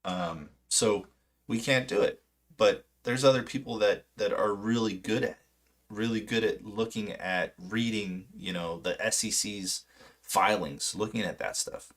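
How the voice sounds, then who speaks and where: A man in his late thirties, from Poland and raised in California, chatting casually and unscripted on a livestream in a halting, self-deprecating, rambling way. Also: on the low side, fairly bright tone. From Poland until age seven, then southern California. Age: late 30s. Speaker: livestream host, amateur retail trader.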